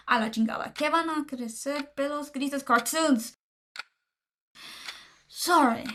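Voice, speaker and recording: A woman's voice: sits high, natural voice. A woman playing a fussy, easily irritated manor secretary, in a radio drama. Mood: flustered, irritated. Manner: polite but easily irritated, slips into Spanish. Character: fussy, exasperated female manor secretary, bilingual, quick to scold. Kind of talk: radio drama acting, recorded-diary monologue. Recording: noisy cassette-style recording with clicks.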